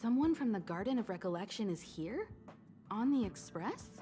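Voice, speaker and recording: Game companion, young woman, played by an actress: mellow tone, mid pitch, kind and reassuring, faint music underneath. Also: very animated, normal speed, close to an everyday voice. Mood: concerned, reassuring. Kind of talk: video game voice acting.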